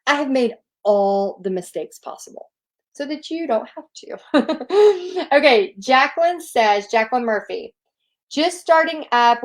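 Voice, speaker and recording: Casting: chatty Southern woman, upbeat and encouraging, slightly higher voice, live Q&A host. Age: adult, nearly two decades of experience. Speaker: paint party instructor hosting a Q&A. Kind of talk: casual live Q&A, reading viewer questions.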